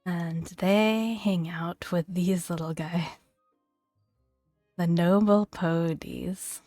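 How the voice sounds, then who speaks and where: A woman speaking softly and gently in a breathy near-whisper, narrating a video game as ASMR. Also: lowish voice, steady tone, very slow, no room sound, studio quality. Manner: gentle, hushed delivery. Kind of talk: soft-spoken ASMR video game commentary. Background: soft background music.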